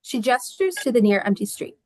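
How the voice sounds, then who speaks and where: A woman's voice: fairly high pitch, expressive, a little fast, darkish tone, dry recording. Woman reading stage directions, very dry sound. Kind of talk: scripted narration at table read.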